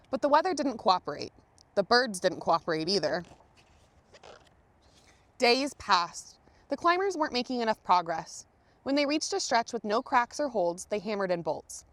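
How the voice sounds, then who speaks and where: High-voiced woman reading a storybook aloud. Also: no echo. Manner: expressive storytelling with dramatic emphasis and pauses. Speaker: storybook reader, museum manager. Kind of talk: read-aloud children's picture book narration.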